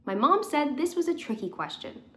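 Woman reading as an excited, animated young boy. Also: high-pitched, moderate pace, a little hiss or hum, voice pushed up. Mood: cheerful, excited. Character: cheerful young boy, proud big brother.

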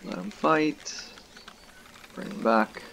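A young man giving relaxed, casual commentary to viewers while he plays a fishing game. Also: fairly high pitch, slow pace, a little hiss or hum. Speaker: gaming video host. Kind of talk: casual let's-play commentary.

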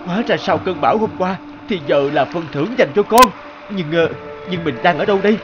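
An actor playing a proud, greedy, obsessive treasure collector in an animated fable, boastful and exclamatory. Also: male voice, sits high, brisk, muffled recording, background noise, lightly acted. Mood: shaken, awed.

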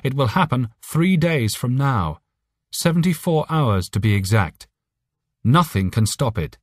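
A man's voice: mid-range voice, animated, unhurried, no room sound, clean studio sound, pitched above the performer's own voice. A man voicing an alien telepathic voice for an audiobook, urgent, friendly and earnest, with careful phrasing. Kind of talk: audiobook character voice.

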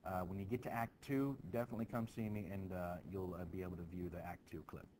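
A man teaching students about a play in an informal, animated storytelling manner. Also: sits low, fast. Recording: clearly noisy background.

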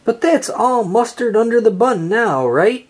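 A storybook reader voicing a bossy male cartoon creature who manages a restaurant, with a brisk manner. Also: medium pitch, animated, husky, pitched above the performer's own voice.

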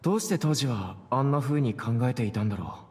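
Man playing a gentle, hesitant young man. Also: mid-range voice, expressive, quick pace, a little hiss or hum, lightly acted. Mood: grateful, puzzled. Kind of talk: Japanese video game voice acting.

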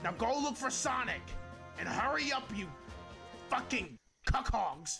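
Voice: medium pitch, very animated, a little slow, creaky. An actor voicing a ranting, foul-mouthed villainous mad scientist in a theatrical, improvised comedy game fan dub. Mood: scheming, irritated. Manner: theatrical, bombastic, swearing. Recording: game music behind the voice.

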